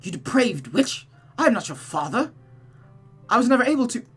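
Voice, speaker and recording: A woman's voice: on the high side, big pitch swings, moderate pace, fairly bright tone, slightly rough, pitched above the performer's own voice, exaggerated voice. A woman acts out a proud male demon in a visual novel. He is defensive and indignant, sputtering his words in panic. There is music behind the voice. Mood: furious denial. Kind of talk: visual novel character read-aloud.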